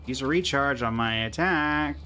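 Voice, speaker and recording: A man's voice: mid-range voice, moderate pace, pushed and bright, slight background noise. A man giving casual live commentary while playing a video game, with dry, muttered asides to himself and the game. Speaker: gaming streamer reacting to gameplay.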